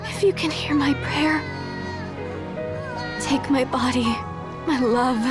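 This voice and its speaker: A woman playing a devoted young mother in a game, speaking gently and wistfully in a dramatic monologue. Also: high voice, steady tone, slow pace, over loud music. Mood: desperate prayer. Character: tender, devoted young mother addressing her baby.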